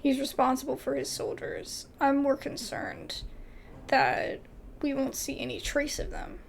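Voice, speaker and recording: A woman with a high voice that often drops into vocal fry. She narrates a video game casually, reading lines aloud and adding informal exclamatory comments. Her intonation is animated, and there is faint noise behind her. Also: unhurried. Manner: informal, exclamatory asides with frequent "like".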